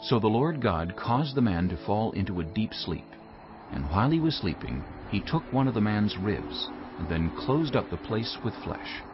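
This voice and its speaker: A man narrating a dramatized scripture reading in a solemn storytelling manner with clear phrasing. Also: lowish voice, very animated, normal speed. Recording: dull muffled audio under music.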